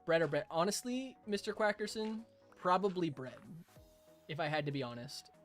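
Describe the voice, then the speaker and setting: A hoarse young man live-streaming a game, chatting casually with viewers, chuckling and thanking them. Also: on the high side, lively intonation, moderate pace, soft background music. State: hoarse, raspy throat.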